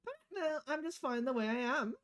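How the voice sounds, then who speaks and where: Woman voicing a high, perky, brazen android girl. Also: expressive, fairly quick. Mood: frank, chatty.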